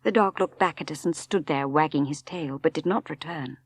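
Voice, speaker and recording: A woman's voice: low-pitched, normal speed. A woman with an English accent reading a novel aloud as dramatic literary narration. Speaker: audiobook narrator.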